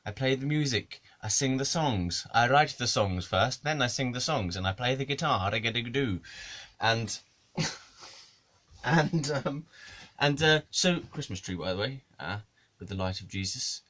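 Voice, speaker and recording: A man's voice: medium pitch, animated, measured pace, a bit bright. A man, a musician rambling through a comic monologue to camera, swearing and laughing at his own jokes. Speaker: musician and video maker.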